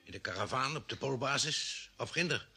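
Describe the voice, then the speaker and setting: An actor playing a thoughtful ship's doctor who reasons and explains in a vintage radio drama. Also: male voice, medium pitch, lively intonation, brisk, sharp tone, husky, faint music behind, exaggerated voice. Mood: pondering. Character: thoughtful male ship's doctor on space expedition.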